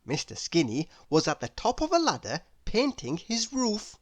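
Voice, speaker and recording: A woman reading a children's story aloud expressively, addressing young listeners with dramatic pauses. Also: medium pitch, huge pitch swings, a little mellow.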